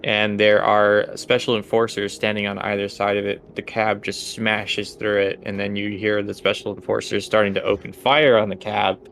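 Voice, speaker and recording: A man narrating a tabletop game as game master, halting as he thinks aloud. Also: fairly low pitch, monotone, noisy background.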